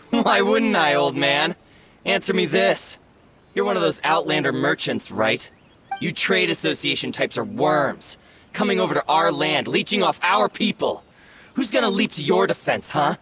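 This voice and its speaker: A man's voice: a little high, lively intonation, normal speed, heavy background noise, natural voice. A man playing a sneering thug. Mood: taunting. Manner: taunting, mocking laughs. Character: sneering young male thug, bullying and greedy. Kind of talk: video game voice acting. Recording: game music behind.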